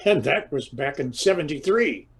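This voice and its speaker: An actor playing a grumbling, teasing older Irish husband in an audio comedy drama, with an Irish accent and a dry manner. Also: male voice, on the high side, expressive, moderate pace, husky, heavily acted.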